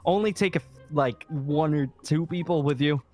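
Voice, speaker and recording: A man's voice: on the high side, moderate pace, darkish tone, faint music behind, lightly acted. A man playing a weary, cautious leader of a zombie-apocalypse safe haven in an improvised game roleplay, talking hesitantly and trailing off. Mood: reluctant, instructive.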